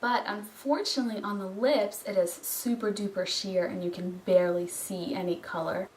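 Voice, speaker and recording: A hoarse woman with a cold talks through a product review in a loose, chatty way, full of ums and small restarts. Her voice sits at a middle pitch and comes from a little distance away. The room adds a noticeable echo with a faint hiss underneath. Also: fairly even intonation, normal speed, darkish tone. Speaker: beauty vlogger.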